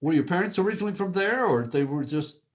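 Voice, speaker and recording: A man's voice: high-pitched, animated, fast talker. A man hosting a video call, introducing guests with eager praise and asking questions. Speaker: host.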